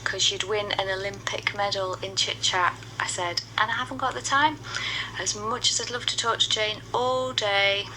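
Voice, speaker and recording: Bright playful woman, noisy recording. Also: medium pitch. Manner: playful, wry. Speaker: app user giving testimonial. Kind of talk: chatty video message. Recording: noticeable background noise, phone video message.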